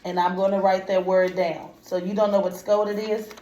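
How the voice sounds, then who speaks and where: A woman's voice: mid-range voice, little pitch movement, normal speed, mellow, slight background noise. A woman teacher reading a picture story aloud to children and prompting them with simple comprehension questions in informal speech. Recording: echoey room.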